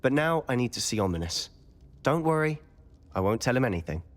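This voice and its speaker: A man's voice: medium pitch, sing-song, fairly quick, lightly acted. A man playing a brooding, persuasive teenage wizard in a video game, serious and confiding in manner. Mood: intent, confiding. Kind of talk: video game cutscene acting. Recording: long hall-like reverb.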